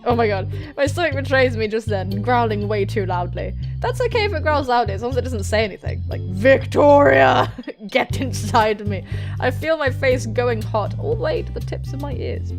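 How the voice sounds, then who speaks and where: A woman hosting a let's play, joking bawdily and excitably as she reads visual novel text aloud. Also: a little high, brisk, few pauses. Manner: bawdy, excitable, self-mocking joking. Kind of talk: playful gaming commentary while reading visual novel text aloud. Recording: music playing behind the voice.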